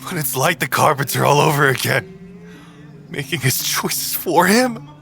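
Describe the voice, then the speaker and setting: A man playing a big, earnest, lovelorn tree being, despondent and drunk, speaking in a brooding, halting way in an audio drama. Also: fairly high pitch, expressive, creaky, bright, forceful tone, heavily acted. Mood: despairing, stubborn. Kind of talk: emotional audio drama acting. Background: music.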